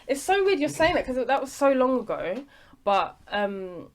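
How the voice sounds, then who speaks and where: A woman's voice: high-pitched, moderate pace. A young woman, an actress telling stories about her work in a casual podcast chat, often restarting her sentences. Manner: animated storytelling with many restarts and fillers.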